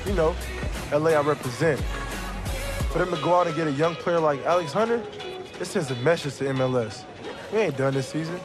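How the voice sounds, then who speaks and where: A man's voice: fairly high pitch, a little fast, pauses often, over music. An American man giving casual, enthusiastic interview commentary about sport.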